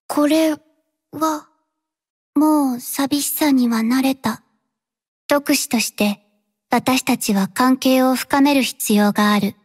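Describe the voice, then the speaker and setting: High-voiced, slow-spoken reserved young mercenary. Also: female voice, husky, heavily acted. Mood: soft, reserved. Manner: quiet, terse, halting, flatly earnest delivery. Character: reserved, dutiful young female mercenary robot-arm mechanic. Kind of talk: Japanese anime-style mobile game voice lines.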